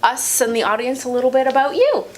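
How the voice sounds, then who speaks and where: A woman's voice: high-pitched, very animated, fast, rough recording. A woman hosting her own interview show, asking questions in an enthusiastic, encouraging way with many fillers. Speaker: host, physiotherapist.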